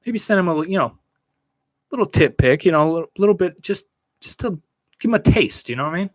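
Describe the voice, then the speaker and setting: A man's voice: high voice, expressive, fast talker, no room sound. A young American man hosting a podcast livestream, chatting casually with viewers in a crude, joking way and swearing a lot, recorded in a small room on a stand microphone. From United States. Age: young adult. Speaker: podcast host answering chat. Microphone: studio mic on a stand in front.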